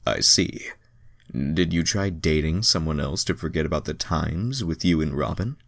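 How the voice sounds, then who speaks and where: A man voicing an easygoing, confident young cartoon hedgehog hero in a casual, deliberate manner. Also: rumbling low, gravelly, no echo, clean studio sound. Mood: supportive.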